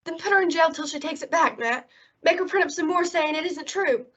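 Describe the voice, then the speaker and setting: Woman acting a high-voiced, outspoken frontier woman. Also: fairly quick, fairly bright tone. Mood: indignant. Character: outspoken, concerned frontier woman, loyal friend. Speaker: actor in a radio western. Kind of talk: old-time radio drama acting. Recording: dull, lo-fi audio.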